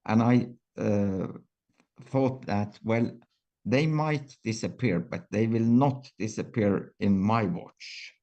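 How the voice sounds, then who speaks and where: Slow gravelly older man, halting. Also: lowish voice, mellow, highs cut off. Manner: halting, many fillers and restarts. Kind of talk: reflective interview answers.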